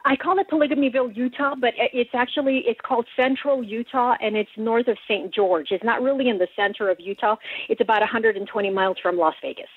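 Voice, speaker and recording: A woman's voice: on the high side, fast, few pauses, husky, no room sound. An American woman calling in to a radio show by phone, earnestly telling stories and acting out quoted dialogue in conversation. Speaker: radio call-in guest. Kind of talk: conversational storytelling. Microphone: telephone. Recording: tinny, band-limited phone line.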